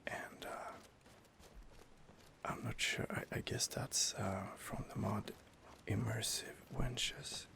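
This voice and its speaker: A man giving soft, hesitant, whispered ASMR commentary over gameplay into a close home microphone, pausing often on fillers. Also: fairly low pitch, steady tone, vocal fry. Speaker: let's play host.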